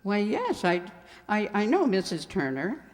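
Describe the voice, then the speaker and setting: Radio play librarian female performer: prim, chatty, gently scolding, lively inflection, ringing hall acoustic. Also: medium pitch, a little slow. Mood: helpful, curious. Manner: fussy, scolding yet genteel. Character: prim, chatty small-town spinster librarian. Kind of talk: amateur radio mystery play acting. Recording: reverberant hall sound.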